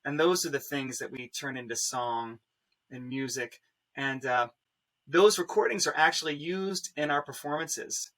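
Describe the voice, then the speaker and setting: A man's voice: mid-range voice, unhurried, a bit bright, low-quality recording. A man speaking earnestly and reflectively as he introduces his work on a discussion panel. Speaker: panelist, pianist-composer.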